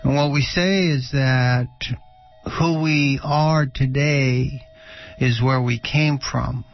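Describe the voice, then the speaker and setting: A middle-aged or older man giving unscripted spiritual teaching on a radio show, halting and often pausing mid-sentence. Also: mid-range voice, fairly even intonation, gravelly, highs cut off, faint music behind.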